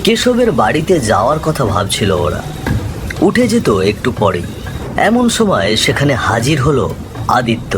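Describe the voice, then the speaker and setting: A man's voice: medium pitch, very animated, measured pace, music in the background. A man giving scripted, dramatic narration of a ghost story as its storyteller. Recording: clear rain and thunder noise behind. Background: rain, thunder, ambience.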